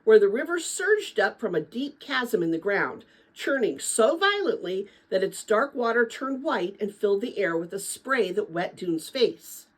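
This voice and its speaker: A woman narrating a children's adventure novel as a dramatic read-aloud, with expressive, deliberate phrasing. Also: medium pitch, rough recording. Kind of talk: dramatic storybook read-aloud for middle-grade listeners.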